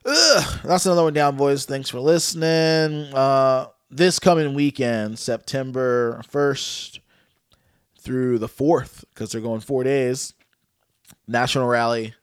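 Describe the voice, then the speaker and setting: A man's voice: medium pitch, unhurried, clear, resonant tone, no echo, clean studio sound. A man hosting a podcast in a laid-back, joking casual chat, with a stuffy, nasal voice and easy swearing. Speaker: podcast host.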